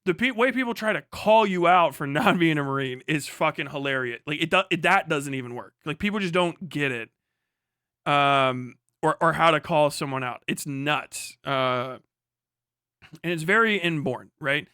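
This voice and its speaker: Bright, clear American man streaming commentary. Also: fairly high pitch, moderate pace, no room sound, clean studio sound. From United States. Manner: rambling, sardonic, profane. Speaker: live streamer, political commentator. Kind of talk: casual off-the-cuff livestream commentary.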